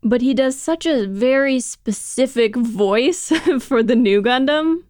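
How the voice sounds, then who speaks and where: Woman, smooth clear voice, dry close sound, casual informative chat. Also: high voice, slow, darkish tone, dry recording. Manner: informative, laughs lightly while talking.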